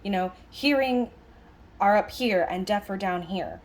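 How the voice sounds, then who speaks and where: A woman voicing a lecture as an interpreter, conversational, speaking in halting phrases with stress on key words. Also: mid-range voice, expressive, a little hiss or hum. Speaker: sign language interpreter voicing a signed lecture.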